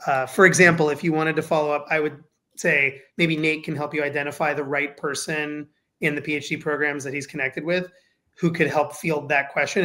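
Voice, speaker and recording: A faculty member hosting a Q&A session on a video call, answering with a measured manner and many fillers. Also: male voice, on the high side, sharp tone. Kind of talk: casual Q&A moderation.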